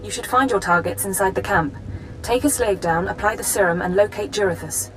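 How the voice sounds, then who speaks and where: Game spy handler, woman acting: medium pitch, cool, efficient briefing manner, no sentiment. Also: fairly quick, fairly bright tone, soft background music, close to an everyday voice, low-quality recording. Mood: brisk, urgent. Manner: crisp, cool, businesslike. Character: brisk, businesslike female intelligence handler briefing an agent. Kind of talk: video game character acting.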